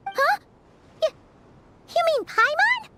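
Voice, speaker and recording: Tiny squeaky game fairy, super excitable kid voice, bounces all over, music behind. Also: female voice, takes it slowly, heavy background noise, heavily acted. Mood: puzzled. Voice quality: very high, squeaky. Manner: refers to self by name. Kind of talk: video game voice acting.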